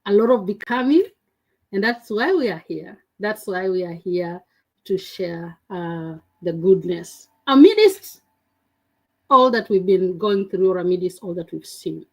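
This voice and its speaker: A woman host speaks slowly, with a breathy edge to her voice. Her pitch moves widely as she opens a faith talk and invites her guest in. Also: expressive, a little mellow, poor audio.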